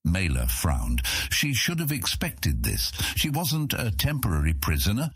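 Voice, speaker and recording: A man's voice: sits low, huge pitch swings, a little slow, fairly bright tone, breathy edge, no room sound, clean studio sound. A man narrating a scripted fantasy audiobook as its storyteller.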